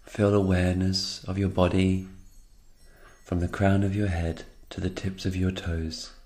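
A man guiding a meditation in a slow, calm and soothing narration, leaving unhurried pauses between phrases. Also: low voice. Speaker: guided meditation instructor.

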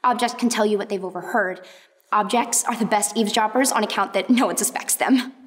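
A woman playing a proud, stubborn girl inventor in a radio play, emphatic and excitable. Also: mid-range voice, a little fast, close to an everyday voice. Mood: excited, proud. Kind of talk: stage-style radio play acting. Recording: reverberant room, noisy with clicks.

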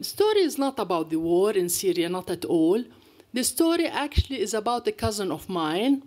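Older woman lecturing at a podium. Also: medium pitch. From Palestine. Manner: anecdotal and animated, with gestures. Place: lecture hall. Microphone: podium microphone.

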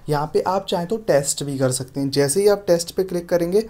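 A man's voice: a little high, brisk, dark tone. A man giving an app tutorial voice-over as a YouTube host, often addressing his viewers as friends.

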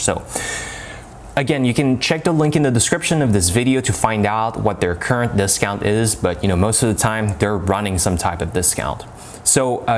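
A man's voice: lowish voice, a little hiss or hum. Young man reviewing to camera. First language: French. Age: young adult. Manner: talks with his hands, many fillers and restarts. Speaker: language-learning reviewer. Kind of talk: casual product review to camera. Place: home room with bookshelves and a lamp. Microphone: lapel mic.

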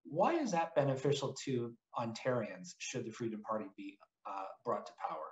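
A man's voice: medium pitch, big pitch swings, moderate pace. A man hosting an interview show from an office over a video call, giving formal introductions and questions. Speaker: interview host. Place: indoor office room. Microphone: webcam on video call. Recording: roomy video call audio.

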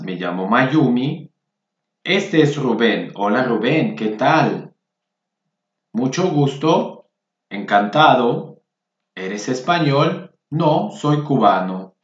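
A man teaching Spanish, reading workbook exercises aloud and patiently repeating phrases for learners. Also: medium pitch. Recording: roomy, dull lo-fi sound.

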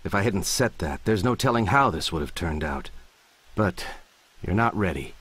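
An actor playing a calm, confident young ninja teacher in an anime, composed and firm but encouraging. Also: male voice, deep voice, normal speed, breathy edge, exaggerated voice. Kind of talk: anime dub acting. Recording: dramatic music under the voice. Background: music.

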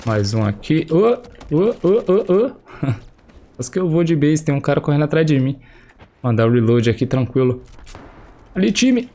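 A man's voice: fairly high pitch, big pitch swings, moderate pace, dark tone. A young man with a Brazilian Portuguese accent, chatty and slangy, commenting on his own gameplay through a headset while still getting over a cold. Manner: chatty, slangy, exclaims at the action. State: recovering from a cold, voice slightly affected. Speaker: gameplay streamer. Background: faint game gunfire and explosions.

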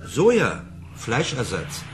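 A man's voice: medium pitch, wildly swooping pitch, takes it slowly, over music. A man playing a kindly, socially awkward simpleton in a German radio drama, hesitant and earnest. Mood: eager, awkward.

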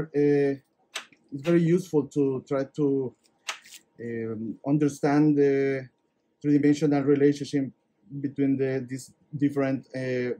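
A man's voice: medium pitch, mellow. Earnest man from El Salvador. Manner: earnest, hesitant, slightly broken English. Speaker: visiting neurosurgery fellow. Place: anatomy lab.

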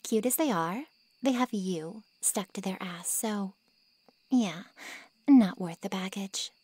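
A woman as a breathy, slow, sneering she-monster. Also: mid-range voice, dark tone, no echo. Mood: mocking, amused. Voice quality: breathy, often half-whispered. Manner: sarcastic, insulting, teasing drawl. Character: snide, taunting female monster who feeds on men. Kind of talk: breathy ASMR roleplay.

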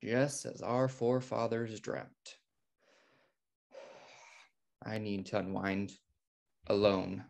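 A man's voice: fairly low pitch, steady tone, very slow, creaky, long pauses, a little mellow, heavily acted. A young American man playing an uptight, fussy schoolteacher brother in a comedy table read. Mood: exasperated. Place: indoor room, video call.

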